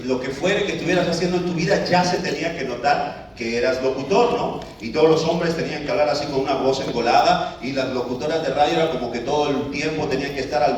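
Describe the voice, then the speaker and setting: A man's voice: fairly high pitch, a little hiss or hum. A man giving a live talk to an audience, in a conversational way with many filler words and restarts. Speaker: speaker addressing an audience. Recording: echoey room, picked up from a distance.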